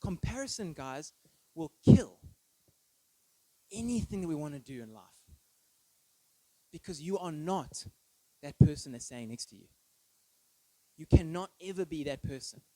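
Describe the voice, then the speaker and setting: A man's voice: a little high, lively intonation, a little slow, long pauses, warm tone, no room sound, rough recording. A thirty-year-old man giving an informal, conversational sermon with personal stories, often checking in with listeners through short tag questions. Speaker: preacher.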